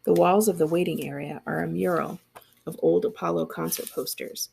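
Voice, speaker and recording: A woman narrates a story aloud with expression. Her voice is very creaky and husky, sitting slightly low. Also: steady tone, moderate pace, darkish tone, rough recording. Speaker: librarian reading aloud.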